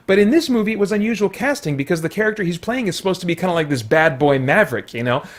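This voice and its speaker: A man hosting a casual spoken movie review, rambling along with jokey, animated asides. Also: sits high, racing. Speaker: film reviewer and host.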